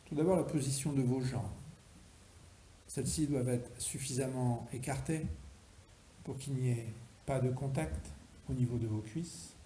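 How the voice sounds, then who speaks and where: A man guiding a relaxation meditation as a yoga instructor, speaking slowly and deliberately, with pauses between instructions. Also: mid-range voice, mellow. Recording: roomy echo, faint background noise.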